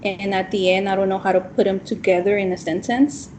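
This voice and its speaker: A young woman whose first language is Spanish, talking in a casual class discussion, halting often with ums and restarts. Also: mid-range voice, steady tone, a little mellow, a little hiss or hum. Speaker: student teacher in training.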